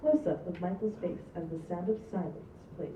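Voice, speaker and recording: A woman's voice: on the low side, brisk. A woman reading script stage directions aloud at a live table read. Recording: roomy, distant, muffled, very noisy live recording.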